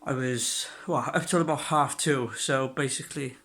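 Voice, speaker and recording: A man's voice: mid-range voice, steady tone, moderate pace, a bit bright. A young man, chatting in a rambling home video diary, congested and coughing with a sore throat. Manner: rambling, chatty, frequent fillers and restarts. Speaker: vlogger.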